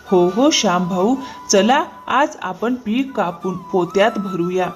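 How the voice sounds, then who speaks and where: Woman as scheming, smooth-talking male cartoon farmer. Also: medium pitch, takes it slowly, soft background music, lightly acted. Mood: ingratiating. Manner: ingratiating, excuse-making. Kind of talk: cartoon moral-story dialogue.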